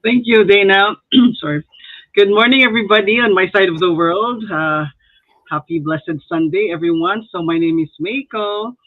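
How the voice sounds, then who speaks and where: A woman's voice: medium pitch, moderate pace, dry recording. A woman from the Philippines, a guest on a casual live stream, introducing herself in an upbeat way. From Cebu, Philippines. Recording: tinny phone-like line, dry.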